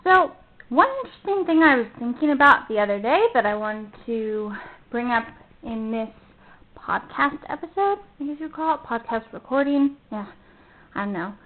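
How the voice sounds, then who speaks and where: A young college-age woman with a high voice talks through her podcast in a loose, rambling way, stopping to correct herself as she goes. The sound is narrow and tinny, like a phone line. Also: lively intonation, normal speed. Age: about 20. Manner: chatty, rambling, self-correcting. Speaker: podcast host. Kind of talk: casual solo podcast talk.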